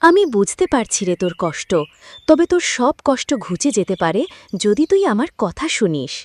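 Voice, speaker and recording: A woman's voice: mid-range voice, very animated, moderate pace. A woman voicing a kindly mother goddess in a cartoon moral story, tender and reassuring. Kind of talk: animated moral story voice acting.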